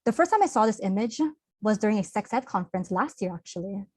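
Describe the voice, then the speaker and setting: A young woman speaks earnestly in an online workshop, her tone open and conversational with easy fillers. Her voice sits at an ordinary middle pitch. The sound is dry and close, like a video call. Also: expressive, quick pace, no echo. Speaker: workshop presenter.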